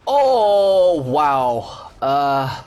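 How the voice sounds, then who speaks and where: Casting: casual male gaming host, animated pitch, leisurely pace, muttering reactions to himself. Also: extremely slow. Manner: reacts aloud, talks to himself. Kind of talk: casual let's play commentary. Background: faint game music.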